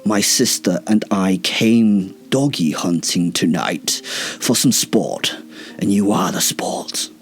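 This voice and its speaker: A man voicing a cold, haughty ancient male vampire in a storybook reading, speaking slowly with pompous disdain. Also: sits low, faint music behind. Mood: menacing.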